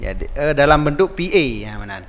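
A man's voice: on the high side, very animated, fairly quick, fairly bright tone. Acehnese male teacher in a reverberant space. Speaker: Islamic teacher. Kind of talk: religious text lesson, reading aloud and explaining. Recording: reverberant hall-like echo.

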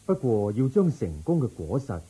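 An actor playing a wise, solemn Buddha in a radio drama, teaching in measured Hong Kong Cantonese with a husky voice. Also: male voice, medium pitch, sing-song, warm tone, rough recording. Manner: measured, teaching through questions. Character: solemn, wise, compassionate Buddha, adult male.

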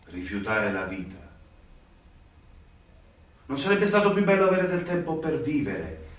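An actor playing a stern, indignant young magistrate in a radio play, with an emphatic, commanding delivery. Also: male voice, medium pitch, sing-song, measured pace. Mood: reproachful, wistful. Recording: very echoey, distant, faintly noisy recording.

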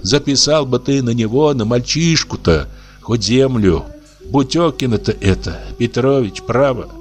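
A man's voice: mid-range voice, animated, a little slow, pitched above the performer's own voice, lightly acted. A man voicing an anxious, fussy middle-aged woman who pleads and frets. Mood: coaxing. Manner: pleading, fretful.

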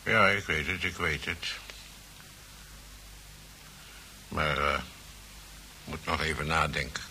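An actor in a radio play voicing a boastful, peevish chess player with a gravelly voice and a formal, blustering way of repeating himself. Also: male voice, rumbling low, moderate pace, bright, forceful tone, heavily acted. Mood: testy, dry. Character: peevish, boastful male chess player, needling his rival. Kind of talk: radio play acting in Dutch. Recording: noisy old radio play recording.

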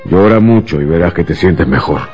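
An actor playing an agitated, self-justifying husband in a radio drama, insistent and theatrical, coaxing and bitter by turns. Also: male voice, deep voice, expressive, normal speed, a little mellow, faint music behind.

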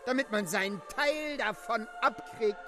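A rebellious soldier in a hospital ward in a radio play. His loud voice is pushed extremely high, with music clearly audible behind it. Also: unhurried. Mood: annoyed. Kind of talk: radio drama acting.

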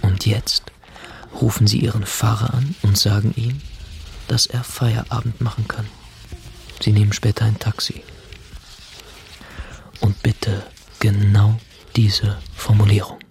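An actor playing a young working-class blackmailer, radicalised and contemptuous, politely threatening and taunting in a naturalistic radio crime drama. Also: male voice, on the low side, level tone, measured pace, gravelly, pauses often, a little mellow, faint music behind. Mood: cold menace.

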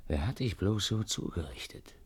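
Very deep, husky brooding lover. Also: male voice, lively intonation, moderate pace, a little mellow, exaggerated voice. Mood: intimate, searching. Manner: low, intimate murmuring. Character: brooding, tender bohemian painter and lover. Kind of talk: intimate radio play dialogue.

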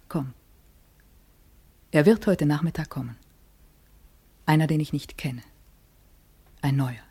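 An aging, sensual woman, lonely but defiant. Her voice is dark and soft-edged with husk. She delivers an introspective, deliberate monologue in a literary radio play. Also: low-pitched, breathy edge.

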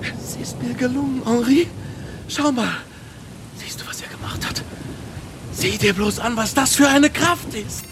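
A man's voice: very high-pitched, a little slow, long pauses, noisy background. An actor playing a driven young scientist recalling his doomed life, earnest and intense, in a German radio play. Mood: urgent, excited.

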